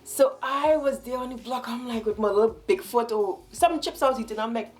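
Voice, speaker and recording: A woman in her twenties from Trinidad and Tobago with a Trinidadian accent, talking quickly and animatedly as she tells a story on her vlog. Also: a little high, a little mellow, faint music behind. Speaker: vlogger.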